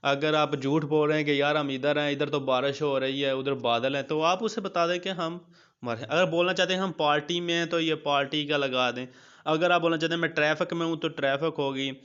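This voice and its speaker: A man's voice: on the high side, steady tone, brisk, a bit bright, smooth. A man hosting a casual, colloquial app tutorial, addressing viewers like buddies and repeating his points. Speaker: YouTube host of app tutorials. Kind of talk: casual tutorial narration with screen walkthrough. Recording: dull lo-fi sound.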